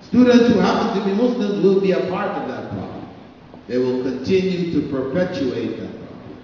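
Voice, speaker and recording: A middle-aged man giving a public lecture at a podium microphone in a large hall, speaking slowly with long pauses between phrases. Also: high voice, animated, mellow, slight background noise. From Canada. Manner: slow, deliberate, pausing between phrases. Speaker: Islamic lecturer. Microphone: podium microphone on stand. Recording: echoey hall, distant, dull audio.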